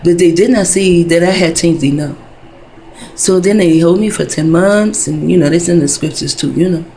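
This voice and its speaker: Woman in her fifties, a dark, raspy-edged voice, for a loose, cursing, wandering vlog monologue. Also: sits low, slight background noise, rough recording. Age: 50s. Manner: wandering, heavy profanity, many fillers. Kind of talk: rambling casual monologue.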